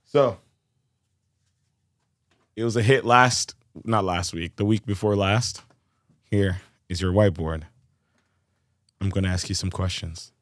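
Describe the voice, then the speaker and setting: A man's voice: low voice, fairly even intonation, dry recording, studio quality. A man hosting a casual podcast, playful and teasing with his guest. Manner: playful, teasing, quick jokes. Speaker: podcast host.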